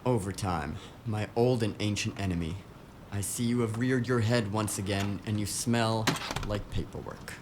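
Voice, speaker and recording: An actor playing a grumbling tech office worker in an audio drama, casual and slangy with a melodramatic streak. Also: male voice, on the low side, normal speed, noisy background, close to an everyday voice. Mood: mock-epic grumbling.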